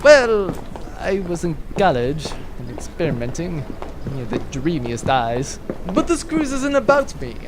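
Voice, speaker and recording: A man's voice: fairly high pitch, very animated, unhurried, noisy background. Man doing a boastful pirate major. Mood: smug. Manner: blustering, theatrical commands. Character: swaggering one-eyed pirate major, vain and boastful. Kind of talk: comedy audio drama.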